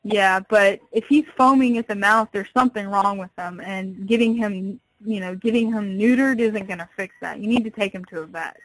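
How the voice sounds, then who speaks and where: A woman's voice: medium pitch, normal speed, hardly any pauses, a bit bright, no echo. A woman working at a pet store, explaining things patiently and a little hesitantly on a customer service phone call. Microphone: telephone.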